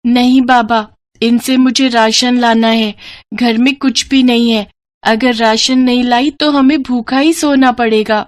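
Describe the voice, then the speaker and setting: A woman voicing a poor young girl in a cartoon story, high and childlike, often pleading and sobbing. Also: steady tone, measured pace, lightly acted.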